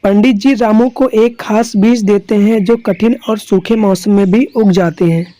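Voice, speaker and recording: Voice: medium pitch, fairly even intonation, normal speed, warm tone. A narrator reading a scripted children's story.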